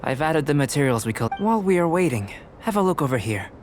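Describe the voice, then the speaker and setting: A man playing a calm young alchemist researcher in a video game, measured, precise and detached. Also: on the high side. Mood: calm, composed. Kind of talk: scripted game dialogue. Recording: game music behind the voice.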